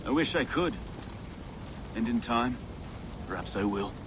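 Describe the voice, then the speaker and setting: A man acting as a regretful warrior in a game drama. His mid-pitched voice is subdued and heavy-hearted, with clear ambient noise around it. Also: animated, unhurried, close to an everyday voice. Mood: regretful, doubting. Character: sorrowful, doubting warrior regretting the battle.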